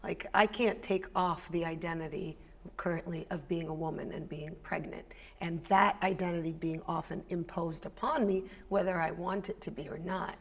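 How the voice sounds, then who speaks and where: A woman's voice: fairly low pitch, steady tone, moderate pace, breathy edge. A woman speaking on a panel as a poet, thoughtful and careful to qualify her points. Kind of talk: panel discussion.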